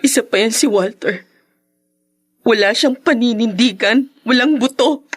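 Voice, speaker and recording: An actress playing a poor young maid in a radio drama, heartbroken and bitter, with emotional delivery. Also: female voice, a little high, unhurried, breathy edge, dry recording, studio quality. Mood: bitter, resolute. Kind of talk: Tagalog radio drama acting.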